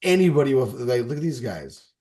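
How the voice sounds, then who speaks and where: A man in his forties, mid-pitched, chatting on a podcast in a rambling, emphatic way. He keeps cutting himself off and starting over, pressing hard on each point. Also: big pitch swings, brisk, mellow. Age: 40s. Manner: rambling, emphatic, with frequent restarts. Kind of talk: casual podcast chat.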